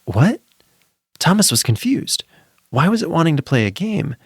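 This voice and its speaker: A man's voice: a little high, sing-song, moderate pace, slightly rough, no echo, clean studio sound. A man narrating a spooky children's story from a script in a dramatic, suspenseful way.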